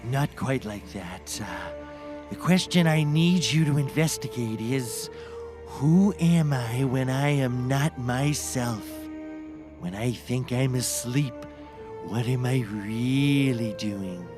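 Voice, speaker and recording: Man acting a slow, solemn mad scientist. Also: medium pitch, music in the background, lightly acted. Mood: worried, grave. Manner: formal, deliberate, solemn. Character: grave, troubled male mad scientist with a dark secret. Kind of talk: scripted comedy acting.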